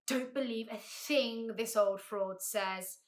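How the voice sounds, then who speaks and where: A woman voicing a fussy, proud, excitable male bee in a storybook reading. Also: a little high, slow pace. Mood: disdainful. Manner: fussy, spells words out letter by letter.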